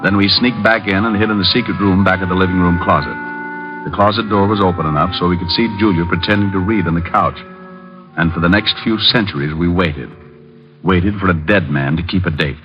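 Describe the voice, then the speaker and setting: An actor playing a tough, wry private detective in an old-time radio drama, both narrating and trading wisecracks. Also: male voice, low-pitched, brisk, close to an everyday voice. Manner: wry, sardonic. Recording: dull old radio broadcast with music.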